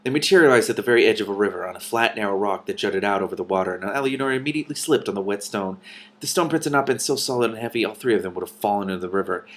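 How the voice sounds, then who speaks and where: A man hosting a read-aloud of a novel, narrating expressively with animated, informal commentary. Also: on the low side, rapid-fire.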